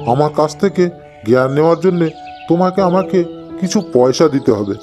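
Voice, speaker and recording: A man playing an older village father and holy man in a cartoon moral tale, speaking in a measured, paternal, instructive way. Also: a little high, lively intonation, music in the background, lightly acted. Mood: solemn, instructive. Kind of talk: Bengali cartoon moral-tale character acting.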